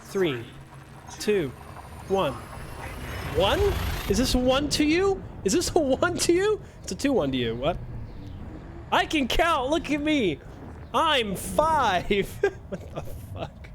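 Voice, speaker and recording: A man's voice: very high-pitched, huge pitch swings, slow, long pauses, background noise. A young man streaming a game live, chatting with his viewers in a joking, self-mocking way. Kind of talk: casual live-stream chat while playing.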